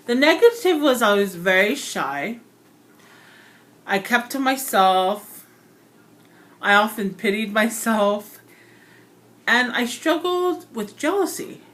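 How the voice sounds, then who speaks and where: A woman from Hawaii giving a prepared personal speech for a class, earnest and reflective, pausing and correcting herself now and then. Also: a little high, very animated, a bit bright.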